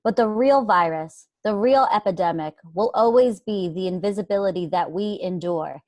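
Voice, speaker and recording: A woman's voice: medium pitch, normal speed, a little mellow, no room sound. A woman actor giving a solemn, dramatic reading of a written memorial piece.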